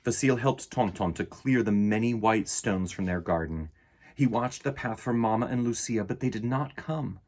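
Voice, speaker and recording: A middle-aged man with a husky voice, hosting a children's storytime in an expressive, theatrical way. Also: fairly low pitch, moderate pace. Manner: theatrical, varied delivery addressed to young listeners. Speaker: children's storytime host and reader. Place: indoor room lined with bookshelves.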